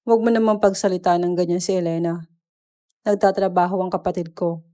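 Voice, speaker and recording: Woman acting weary, gentle older brother, pleading. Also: lowish voice, steady tone, a little fast, natural voice. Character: weary, mild-mannered man, a protective older brother. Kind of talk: dramatized storytelling dialogue.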